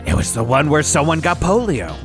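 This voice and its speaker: A man's voice: on the high side, big pitch swings, a little fast, a bit bright, faint music behind. A man playing a goofy radio sidekick who riffs absurd asides in improvised comedy banter. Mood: silly riffing.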